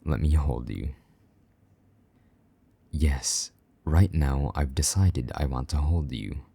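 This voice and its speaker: A man voicing a possessive, jealous vampire knight in an intimate audio roleplay, speaking in a low murmur that drops into soft whispers, teasing and a little gruff. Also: slow pace, no room sound, exaggerated voice. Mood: tender.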